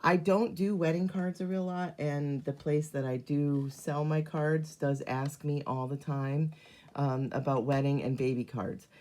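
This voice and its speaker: Hoarse, very low-voiced woman craft host. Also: steady tone, a little slow, a little mellow. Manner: chatty, many ums, greets viewers by name. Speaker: card-making demonstrator hosting a live stream. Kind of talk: casual live craft demonstration.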